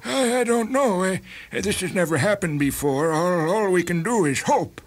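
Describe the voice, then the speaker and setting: Nervous apologetic doctor in a radio play, kinda high voice, halting, faint music. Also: male voice, animated. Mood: uneasy, explaining. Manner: hesitant, halting. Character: apologetic, uneasy male doctor. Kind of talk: radio drama acting.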